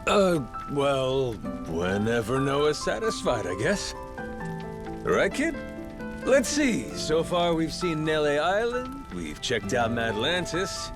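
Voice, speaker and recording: A man's voice: a little high, huge pitch swings, takes it slowly, music in the background, full character voice. A man playing a brash, playful Yakuza in a video game, with a cocky, profane, slangy drawl. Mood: casual, earnest. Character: brash, playful, rough-talking Yakuza man who lost his memory. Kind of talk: video game cutscene acting.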